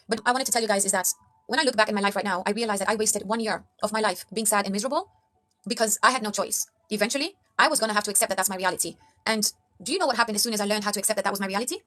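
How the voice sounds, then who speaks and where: A woman's voice: mid-range voice, pushed and bright. A young woman from Kenya giving an earnest motivational talk to camera, preaching life tips to her viewers and calling them guys. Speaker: life coach vlogger.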